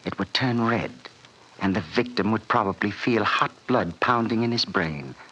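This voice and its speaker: A man's voice: fairly low pitch, lively intonation, normal speed, pushed and bright, muffled recording, exaggerated voice. A silky sinister fat man. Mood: gloating. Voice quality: smooth, relishing, silkily menacing. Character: sinister older man nicknamed fat man, menacing. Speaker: villain. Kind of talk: 1940s radio acting. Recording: vintage radio recording with hiss.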